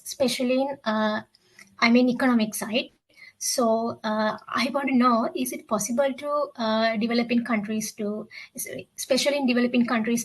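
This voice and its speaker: A young woman with a Sri Lankan accent, a student asking a question in a halting way, searching for words. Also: fairly high pitch, breathy edge, poor audio.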